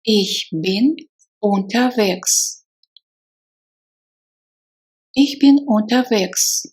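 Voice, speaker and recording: A woman teaching phrases in a slow, repetitive drill, saying each phrase several times with a translation. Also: mid-range voice, darkish tone, husky. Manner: repeats each phrase slowly, then gives the translation. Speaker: language teacher.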